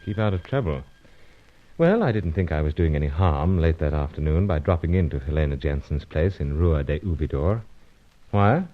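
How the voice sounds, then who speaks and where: An actor playing a suave, earnest British secret agent in an old radio adventure serial, with deliberate, clipped, dramatic delivery. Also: male voice, a bass voice, expressive, background noise. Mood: wistful, reflective. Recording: dull lo-fi old radio recording.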